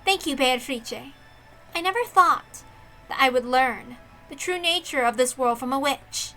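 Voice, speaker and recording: A woman voicing a proud, intense young female detective with theatrical, deliberate delivery in a visual novel reading. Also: high voice, bright, forceful tone, faint music behind, poor audio. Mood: moved, reverent.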